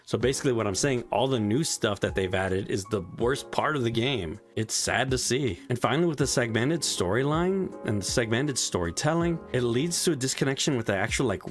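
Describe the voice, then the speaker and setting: A man giving a frustrated, casual spoken game review, swearing often as he rants. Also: medium pitch. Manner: frustrated ranting, frequent swearing.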